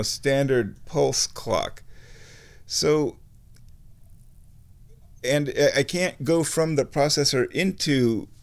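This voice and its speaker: A man with a medium-pitched voice talks slowly through a casual demonstration of electronic music gear. He is unscripted and halting, rambling as he thinks aloud.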